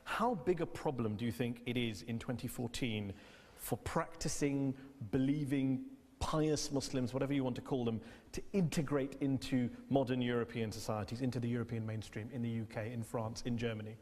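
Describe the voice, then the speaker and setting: A man's voice: medium pitch, steady tone, normal speed, a little mellow. A man hosting a broadcast interview, pressing his guest with pointed, rhetorical questions and cutting in. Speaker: TV interviewer host. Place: debating hall with audience. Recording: long hall reverb.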